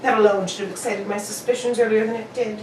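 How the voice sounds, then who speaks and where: A woman's voice: medium pitch, fairly even intonation, quick pace, close to an everyday voice. A woman playing a brilliant, exacting male detective in an old-time radio drama, with precise, theatrical delivery. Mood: triumphant, explanatory. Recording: echoey room, distant mic, faint noise.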